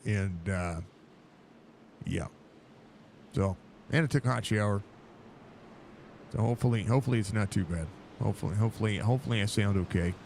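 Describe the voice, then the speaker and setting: A man with a stuffy, congested voice calling a live sim race, excited and rambling with lots of fillers. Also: on the low side, a little fast, vocal fry, pauses often, slight background noise. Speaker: sim racing broadcaster.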